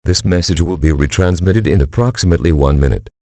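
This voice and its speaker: A male synthetic-style voice as an impersonal emergency alert announcer, reading formal warnings in a flat, clipped manner. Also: low voice, fast talker, no echo. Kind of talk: scripted emergency broadcast announcement.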